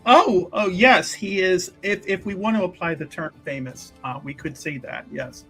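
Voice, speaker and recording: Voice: medium pitch, moderate pace, over loud music, lightly acted, rough recording. A player voicing a shrewd church investigator in tabletop roleplay, speaking formally and wordily with hesitant, politely needling phrasing. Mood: measured, knowing. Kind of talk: improvised in-character tabletop roleplay.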